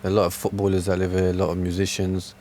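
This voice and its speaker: A man's voice: low voice, monotone, fast talker, a little mellow, slight background noise. A man being interviewed outdoors, talking casually and candidly, repeating phrases for emphasis and checking in as he goes. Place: outdoors on a park bench.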